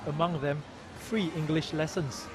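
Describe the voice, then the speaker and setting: Man narrating a news documentary. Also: a little high. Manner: measured, even news delivery. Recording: voice-over with music behind.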